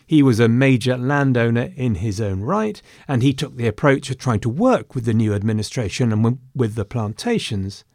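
A man's voice: mid-range voice, expressive, moderate pace, few pauses, darkish tone, no room sound, studio quality. A man narrating history in a conversational way, with wry asides.

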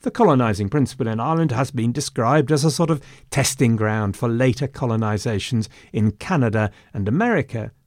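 A man talks through a stretch of history in a relaxed, conversational way, dropping in wry asides as he goes. His voice sits at a middle pitch. The recording is close and dry, with no room sound. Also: no echo. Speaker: history podcast narrator. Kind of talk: informal history narration.

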